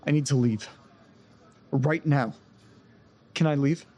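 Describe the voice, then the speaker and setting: An actor playing an anxious man who tried to burn his haunted house down, talking in halting, repeated bursts. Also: mid-range voice, dark tone. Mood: desperate. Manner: shaken, halting, repeats words. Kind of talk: audio drama acting.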